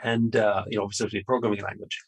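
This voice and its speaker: A man's voice: lowish voice, level tone, fast, creaky, husky, poor audio. A middle-aged man from Austria, answering interview questions in a rambling, conversational way with many fillers and restarts. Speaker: podcast guest.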